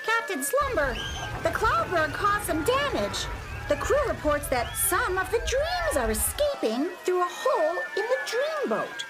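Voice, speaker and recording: A woman's voice: very high voice, sing-song, unhurried, loud music behind the voice, exaggerated voice, low-quality recording. A woman playing the eager first mate of a flying dream ship in a children's radio play, theatrical and earnest. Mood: worried reporting.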